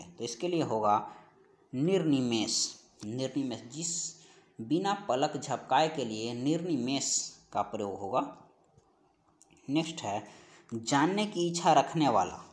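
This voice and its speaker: A male teacher with a medium-pitched voice takes learners through exam questions in a didactic way. He reads each item aloud, repeats it, and stretches out the key phrases before giving the answer. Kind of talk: Hindi grammar exam-prep lesson, reading out questions and answers.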